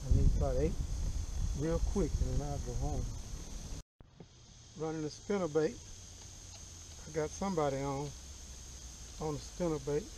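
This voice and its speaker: A man's voice: fairly high pitch, measured pace, long pauses, noisy background. A man chatting to the camera and to the fish from a small boat on a lake, picked up by an action camera. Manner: chatty, talks to fish and viewers. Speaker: fishing vlogger. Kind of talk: casual talk to camera while fishing.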